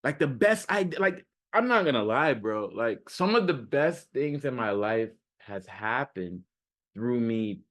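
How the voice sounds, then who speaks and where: A man's voice: medium pitch, expressive, measured pace, a bit bright. A man speaking off the cuff like a self-improvement coach, casual and slangy, repeating phrases for emphasis and often checking in with the listener. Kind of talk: casual off-the-cuff motivational talk.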